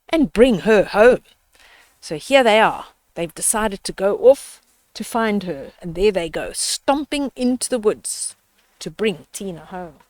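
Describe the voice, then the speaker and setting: A woman's voice: medium pitch, a little mellow, no room sound. An older woman reading a children's story aloud, expressively, with explanatory asides and questions to young listeners.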